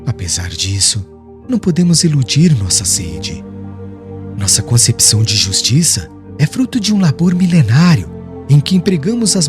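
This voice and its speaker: A man voicing a serene, devout young preacher in an audiobook, eloquent and formal, declaiming with solemn firmness. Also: mid-range voice.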